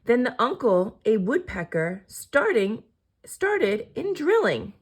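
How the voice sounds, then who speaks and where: A woman reading a children's picture book aloud as an expressive storyteller. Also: fairly high pitch, slow pace, breathy edge.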